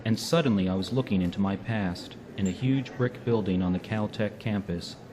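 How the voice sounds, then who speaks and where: A man's voice: lowish voice, moderate pace, a crowd in the background, natural voice. An actor playing an old robot narrating his memories in a radio drama, grave, literary and scornful. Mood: brooding, bitter. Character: aged robot narrator, bitterly recalling his past. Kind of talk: dramatic first-person narration.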